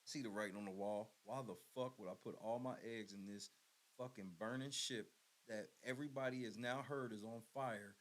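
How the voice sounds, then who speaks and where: A man hosting his own show, talking bluntly and profanely in a casual, swaggering way with loud outbursts. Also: fairly low pitch, rough recording. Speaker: podcast host.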